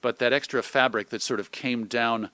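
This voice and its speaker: A middle-aged American man talking as an interview guest in an animated, thoughtful, digressive conversational way. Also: medium pitch, moderate pace, no echo. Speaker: interview guest, magazine publisher and radio host.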